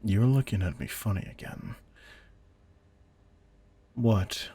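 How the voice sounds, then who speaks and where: A man playing a veteran vampire hunter in an audio drama, a controlled, intense mentor who speaks in a deliberate, commanding, quietly menacing way. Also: on the low side, big pitch swings, full character voice. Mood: wry, guarded. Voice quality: gravelly, husky. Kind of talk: scripted roleplay audio drama.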